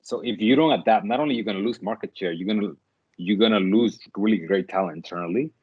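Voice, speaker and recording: Startup founder, thirties, very deep voice, halting and thoughtful, dead-dry lo-fi sound. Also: male voice, husky, no echo. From Dominican Republic. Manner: thoughtful, many fillers and restarts. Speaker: startup CEO, guest. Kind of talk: interview answers.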